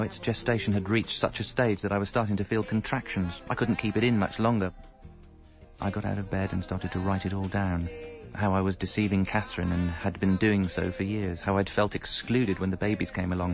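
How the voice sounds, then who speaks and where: An actor playing a middle-aged British married man who confesses his double life in a wry, articulate, self-mocking way, as radio comedy narration. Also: low voice. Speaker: narrator and lead character. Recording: dull lo-fi sound, faint music.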